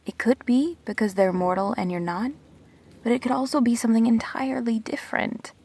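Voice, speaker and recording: A woman acting an earnest, guarded immortal best friend in an audio drama, speaking in measured, reflective phrases with pauses. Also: on the high side, darkish tone, husky. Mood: earnest, persuasive.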